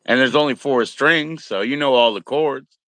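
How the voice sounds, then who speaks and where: A man's voice: medium pitch, normal speed, fairly bright tone, no room sound. An American man chatting casually as a livestream host, in a laid-back, slangy, encouraging way. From Maryland, United States.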